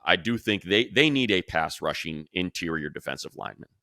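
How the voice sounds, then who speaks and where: A man's voice: sits low, expressive, fairly quick, pushed and bright, no room sound, studio quality. A man speaking as a sports show host, giving casual, conversational analysis in an emphatic, rambling way.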